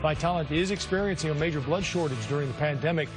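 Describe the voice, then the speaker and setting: A man's voice: on the high side, fast talker, over music. A man with an American accent reading scripted broadcast news as an anchor.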